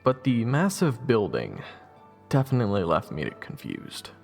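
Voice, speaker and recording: A man's voice: fairly low pitch, wildly swooping pitch, a little slow, creaky, darkish tone, faint music behind, exaggerated voice. A man playing a flippant, evasive young adventurer in an audio roleplay, wry and casual with awkward hesitations. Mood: wry narration. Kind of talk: audio roleplay acting with first-person narration.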